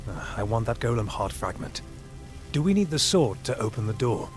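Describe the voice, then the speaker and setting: A man's voice: mid-range voice, big pitch swings, moderate pace, natural voice. An actor playing a determined, terse male fantasy warrior in a video game, speaking in a blunt, dry way. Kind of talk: video game character acting. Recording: loud music under the voice.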